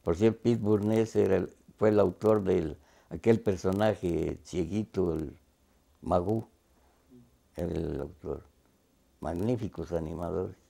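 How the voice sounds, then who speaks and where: A man's voice: low-pitched, a little slow, creaky, warm tone. An older man, seated indoors with a lapel mic, reminiscing for a documentary.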